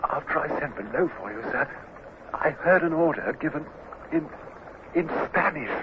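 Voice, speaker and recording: Excitable young British naval officer, acted by a man. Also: a little high, moderate pace, close to an everyday voice. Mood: hushed alarm. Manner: earnest, deferential, excitable. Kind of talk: old-time radio drama acting. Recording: muffled, noisy old radio recording.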